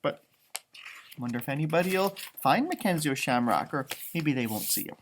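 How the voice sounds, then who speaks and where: A man's voice: a little high, expressive, brisk, slight background noise. A man reading a picture book to children, with chatty, wondering asides as he talks to his young listeners. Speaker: storytime reader for children.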